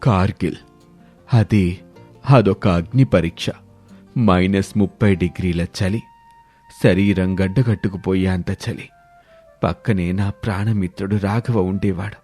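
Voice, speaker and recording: A man's voice: sits low, fairly even intonation, unhurried, long pauses, dark tone, faint music behind. A man playing a dignified, patriotic old army veteran, solemn and earnest, in a moral story. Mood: solemn, moved.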